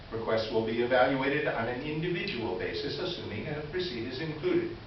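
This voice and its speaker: A man's voice: medium pitch, close to an everyday voice. Far-off, muffled stage recording. Actor as a smug, officious male store manager delivering a formal, condescending letter. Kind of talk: reader's theater, dramatic letter reading. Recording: echoey hall, distant mic, dull, noisy.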